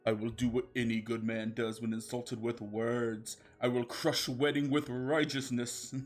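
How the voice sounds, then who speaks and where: A man doing a gruff, righteous macho cat. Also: medium pitch, level tone, faint music behind, close to an everyday voice. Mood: stern. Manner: slow, roaring, stern demands.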